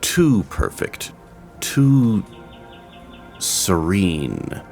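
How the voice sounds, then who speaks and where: A man narrating a fantasy audio drama, reading with expressive, dramatic pacing. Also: low-pitched, drawn-out words, gravelly, faint music behind. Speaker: storyteller.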